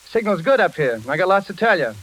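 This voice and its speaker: An actor playing a brisk, slangy engineer who frets over his friend in a 1940s radio drama. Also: high voice, very animated, a bit bright, full character voice. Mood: brisk, cheerful. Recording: noisy, crackling vintage radio recording.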